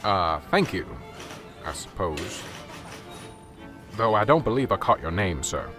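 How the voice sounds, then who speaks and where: A man's voice: sits low, sing-song, measured pace, a bit bright, soft background music, full character voice. An actor playing an exasperated male police sergeant in an amateur radio drama. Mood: weary. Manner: curt, exasperated. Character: gruff weary male small-town police sergeant.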